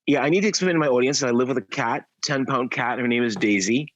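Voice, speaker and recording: A man's voice: mid-range voice, quick pace. A man with a Trinidadian accent, co-hosting a podcast in talkative casual chat. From Trinidad and Tobago. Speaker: podcast co-host. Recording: muffled lo-fi podcast audio.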